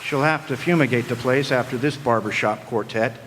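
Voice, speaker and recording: A man's voice: medium pitch, fairly quick, fairly bright tone, close to an everyday voice. Man acting a sardonic hard-boiled detective, echoey hall. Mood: jaded, sardonic. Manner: sardonic, slangy wisecracks. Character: wisecracking, world-weary hard-boiled male private detective. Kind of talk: 1940s radio noir acting, first-person narration. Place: live stage performance in a hall. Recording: echoey hall, background noise.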